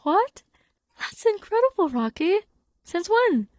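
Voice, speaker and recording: A woman voicing a casual, wry, warm-hearted male human scientist in an audio story. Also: very high voice, big pitch swings, slow, no echo, studio quality, pitched above the performer's own voice, heavily acted. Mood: astonished. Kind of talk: podfic character dialogue.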